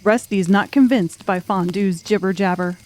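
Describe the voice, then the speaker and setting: A woman's voice: medium pitch, moderate pace, a little mellow. A woman narrating a dramatic audiobook story from a script.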